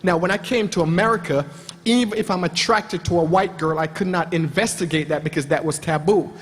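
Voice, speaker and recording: A man's voice: fairly high pitch, fairly quick, slightly rough. A man from Jamaica speaking on a panel, emphatic and repeating phrases for effect. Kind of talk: passionate motivational panel talk. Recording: long hall reverb.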